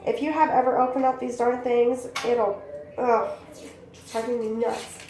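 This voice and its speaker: A woman's voice: on the high side, steady tone, a little slow, darkish tone. A young woman with a congested voice from bronchitis, chatting casually as she demonstrates a recipe in her home kitchen. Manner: chatty and rambling, talks while working. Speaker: cooking channel host. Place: small home kitchen, indoors. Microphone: camera mic from a few feet away. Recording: echoey kitchen with background noise.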